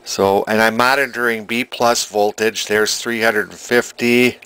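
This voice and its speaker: A man informally narrating a repair demonstration, halting and thinking aloud as he goes. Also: on the low side, fairly even intonation, bright, forceful tone, clear, resonant tone. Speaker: hobbyist electronics restorer. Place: cluttered indoor workshop.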